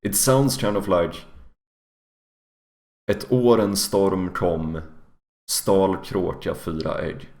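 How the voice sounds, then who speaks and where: A man's voice: low voice, steady tone, takes it slowly, vocal fry, long pauses, a little mellow. A man with a Swedish accent and Swedish as his first language, thinking aloud on a casual video call and swearing casually. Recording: echoey room on a call mic.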